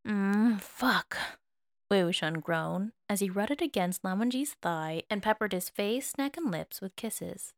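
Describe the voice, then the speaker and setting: Woman reading a story audiobook style, medium pitch, really dry close sound. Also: lively intonation, normal speed, few pauses, no room sound. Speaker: audiobook narrator. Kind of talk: expressive scripted fiction narration.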